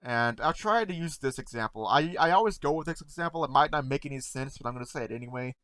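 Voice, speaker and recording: A man in casual talk to camera, halting and stuttering, repeating words and often trailing off softly, close to the mic at home. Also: mid-range voice, expressive, dry recording. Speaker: content creator reacting to memes.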